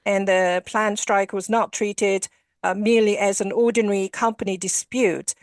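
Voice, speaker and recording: A woman journalist giving measured analysis in a news interview, pausing often with small fillers. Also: medium pitch, steady tone, no room sound, clean studio sound. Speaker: journalist correspondent.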